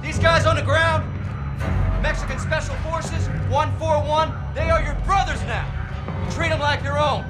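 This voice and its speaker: An actor playing a brash, gung-ho military air-support commander in a video game, barking orders with swagger. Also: male voice, very high-pitched, steady tone, measured pace. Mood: rallying. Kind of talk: video game cutscene acting. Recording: loud music behind.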